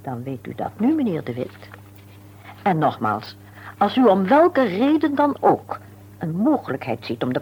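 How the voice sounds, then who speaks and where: An actress playing a composed, tactful middle-aged wife in a radio play, speaking formally and deliberately with polite insistence. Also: female voice, medium pitch, lightly acted. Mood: firm, confiding. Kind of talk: Dutch radio play acting. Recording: dull, hissy old radio recording with hum.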